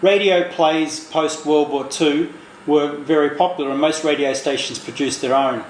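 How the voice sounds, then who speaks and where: A man's voice: on the high side, steady tone, normal speed. A man presenting in an explanatory video narration style. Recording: echoey room, faint background noise.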